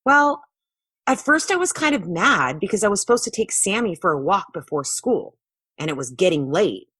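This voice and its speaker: A woman voicing a young boy earnestly telling a story to friends in a children's storybook, with exaggerated, animated delivery. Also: medium pitch, normal speed, husky, no echo, studio quality. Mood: earnest, puzzled.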